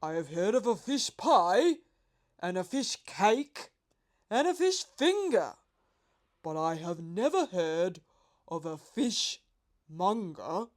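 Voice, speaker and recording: A woman voicing a hungry, exuberant male pelican in a children's storybook, with loud, drawn-out theatrical delivery. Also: on the low side, very animated. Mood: puzzled.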